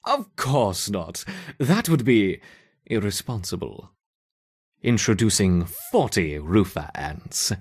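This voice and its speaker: A man playing a gleefully sadistic scientist in a video game, theatrical and savoring, with mock innocence and a husky voice. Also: fairly low pitch, sing-song, slow pace, heavily acted. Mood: smugly pleased. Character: gleefully sadistic male scientist running ant experiments. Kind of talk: video game character acting.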